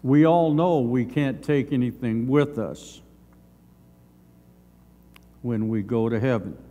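An older man in his seventies giving a Sunday school Bible lesson, reading from notes in measured, halting short phrases. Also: medium pitch, dark tone. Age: older, around 70s. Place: church sanctuary, at a lectern. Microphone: gooseneck lectern microphone. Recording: long hall reverb.

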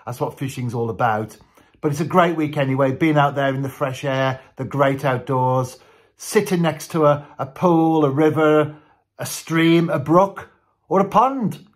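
A man's voice: on the high side, unhurried. A man in his fifties from Britain, chatting enthusiastically about fishing as a guest on a radio call from his living room while wearing headphones. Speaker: radio guest and angling vlogger. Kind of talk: casual chat about fishing.

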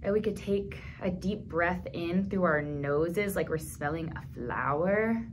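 A woman in her thirties leading a toddler storytime, speaking gently in simple phrases for small children as she reads aloud and comments. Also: lowish voice, a little slow, background noise. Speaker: children's librarian, storytime host. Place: small indoor room at a library.

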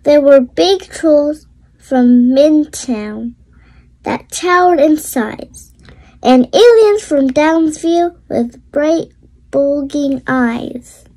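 Storybook reader, child, very high voice, unhurried deliberate phrasing, expressive read-aloud. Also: low-quality recording.

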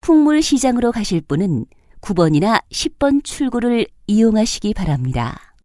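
A woman giving recorded subway announcements in a polite, measured, formal manner, recorded in a studio. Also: a little high, sing-song, darkish tone, dry recording.

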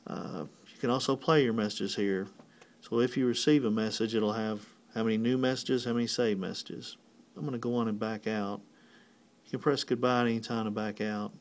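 A man's voice: on the low side, steady tone, fairly quick. A man giving a step-by-step tutorial as a technician, explaining plainly and methodically. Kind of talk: instructional step-by-step walkthrough.

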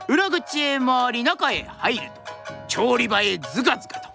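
A woman delivers dramatic scripted narration like a traditional storyteller. Her voice is fairly high and very lively in pitch, with music playing behind her. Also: a little slow, creaky.

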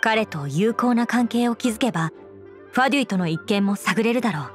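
A woman's voice: on the high side, lively intonation, soft background music, natural voice. Woman playing an earnest, composed young knight commander. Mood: encouraging. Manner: formal, measured, dutiful. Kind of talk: anime game voice acting.

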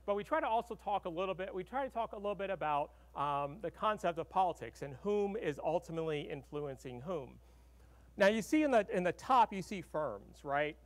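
Keynote speaker: middle-aged man, slightly high voice, loose and chatty, podium mic in a big hall. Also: lively intonation, fairly quick. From Arkansas. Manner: conversational, many fillers and "right?" tags. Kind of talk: conference keynote lecture. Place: large conference hall with audience.

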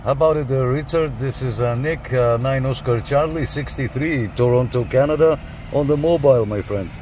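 A man's voice: mid-range voice, moderate pace, background noise. A man chatting as a CB radio operator from inside a parked car, repeating his radio phrases. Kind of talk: informal radio contact chat. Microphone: camera beside the dashboard radio.

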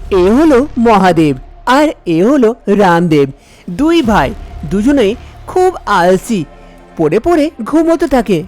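A woman narrating a cartoon story, dropping her voice lower to play the men. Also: a little mellow. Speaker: narrator voicing all male characters. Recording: music bed under the voice.

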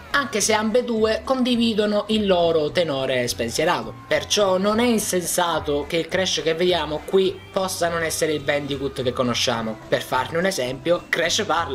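A man reading a scripted video essay narration in speech. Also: a little high. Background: background music.

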